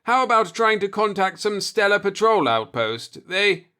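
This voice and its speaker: Man acting young husband, uneasy, earnest, fretful, raised pitch, sci-fi audiobook. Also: big pitch swings, normal speed, sharp tone, smooth, heavily acted. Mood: worried. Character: uneasy young husband, space yacht passenger. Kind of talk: storybook dialogue in a sci-fi audiobook.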